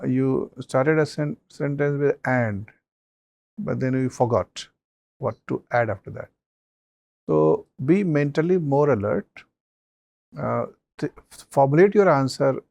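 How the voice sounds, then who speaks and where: Gravelly man giving interview feedback. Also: medium pitch, darkish tone, dry recording. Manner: advising, measured, many fillers. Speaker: mock interview panelist.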